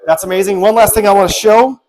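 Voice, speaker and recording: Casual man with a slightly high voice. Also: steady tone, fast, a little mellow, low-quality recording. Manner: informal, repetitive, loosely improvised patter. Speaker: product reviewer. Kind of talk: casual hands-on product demonstration.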